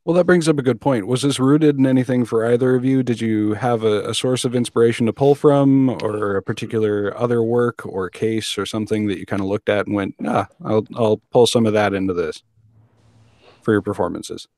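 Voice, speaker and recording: A man hosting a casual panel interview, halting as he searches for words. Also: lowish voice, fairly even intonation, dark tone, no room sound, clean studio sound. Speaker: podcast host.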